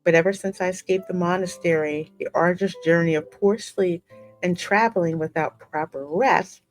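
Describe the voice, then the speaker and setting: Expressive low-voiced woman narrating a game. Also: moderate pace. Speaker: gaming streamer reading the game heroine's part aloud. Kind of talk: expressive read-aloud game narration with chatty asides. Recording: music running behind the voice.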